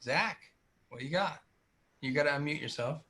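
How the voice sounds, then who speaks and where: A man's voice: fairly high pitch, bright, forceful tone. A man hosting a group call, asking pointed follow-up questions and inviting others to speak. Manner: probing, quick follow-up questions. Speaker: show host.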